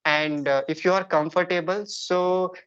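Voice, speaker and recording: Indian-accented male host, measured advice, muffled video-call sound. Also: high-pitched, fairly even intonation, a bit bright, clean tone. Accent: Indian English. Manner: thoughtful, hedges with "I think", frequent "uh". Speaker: channel host, English speaking coach. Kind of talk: casual conversation practice on a video call.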